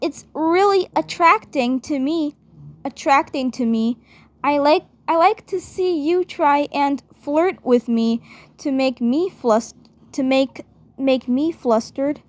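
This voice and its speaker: A woman playing a bubbly, affectionate girl, speaking haltingly and repeating her words and phrases. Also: sits high.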